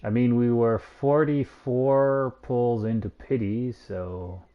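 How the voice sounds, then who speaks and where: A man's voice: mid-range voice, fairly even intonation, slow pace, dull audio, slight background noise. A man streaming a video game, giving casual play-by-play commentary on what he does. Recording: game music behind the voice. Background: video game music.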